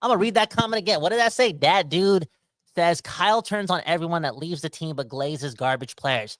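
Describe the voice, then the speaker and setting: A man, rambling through a casual livestream rant full of slang. His voice is bright and forward, and he talks straight to his viewers as he reads their comments aloud. The close mic is dry. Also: fairly high pitch, a little fast, no room sound. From Canada. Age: 30s. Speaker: podcast host reading live chat comments.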